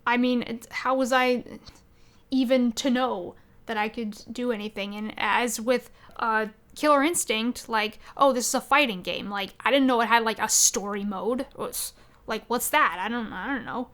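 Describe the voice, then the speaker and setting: A woman talks casually as a podcast co-host. Her voice sits fairly high and has a clearly bright, forward edge. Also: normal speed.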